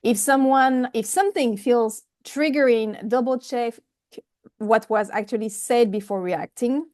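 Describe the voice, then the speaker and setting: A woman with a fairly high voice and a strong French accent speaks on an online call. She is careful with her English and now and then halts over a word. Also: a little slow, a little mellow. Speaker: volunteer timekeeper at a group meeting. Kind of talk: reading a prepared announcement aloud.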